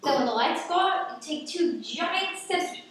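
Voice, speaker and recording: A woman's voice: high voice, animated, unhurried, a bit bright. A woman playing a bossy, scheming girl, the ringleader in a radio drama. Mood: instructing. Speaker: actor in an amateur radio play. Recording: echoey room, distant mic, faint noise.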